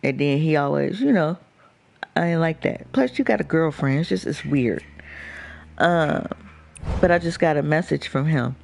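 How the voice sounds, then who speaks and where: A man's voice: fairly high pitch, normal speed, vocal fry, long pauses, slight background noise. A man chatting casually as a radio show co-host, telling stories in slangy, profane talk, with music playing behind. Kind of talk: casual chat.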